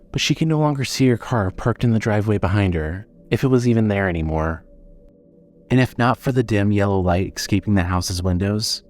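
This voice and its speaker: A man's voice: on the low side, darkish tone. A man narrating a scripted horror story as the narrator of an audio drama.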